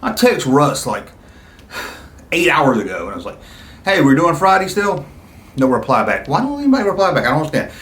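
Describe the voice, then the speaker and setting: A man's voice: a little high, sing-song, brisk, pauses often, fairly bright tone. A middle-aged man hosting a casual chat for viewers, reminiscing in a rambling, nostalgic way with frequent asides. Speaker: host of a fan video channel. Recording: roomy echo, clear background noise.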